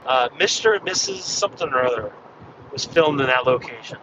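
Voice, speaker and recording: A man slightly hoarse from losing his voice, chatting in a rambling way while driving. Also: medium pitch, animated, a little fast. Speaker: ham radio vlogger. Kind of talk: casual chat while driving. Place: inside a car on a freeway. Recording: dull muffled car recording with road noise. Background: road and engine noise.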